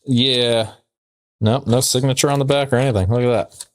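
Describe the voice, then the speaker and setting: A man's voice: mid-range voice, steady tone, moderate pace. A man co-hosting a casual podcast, bantering and reading letters aloud in a conversational way. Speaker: podcast co-host.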